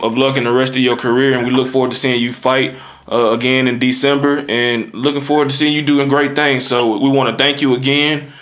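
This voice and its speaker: Casual male host, halting delivery. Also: mid-range voice, a bit bright. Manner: friendly, halting with ums. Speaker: interview host. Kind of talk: casual interview.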